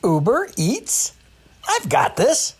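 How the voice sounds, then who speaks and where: A woman's voice: mid-range voice, wildly swooping pitch, slow, slightly rough, full character voice. An actress playing a confident, questioning woman in a commercial. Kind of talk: radio commercial acting.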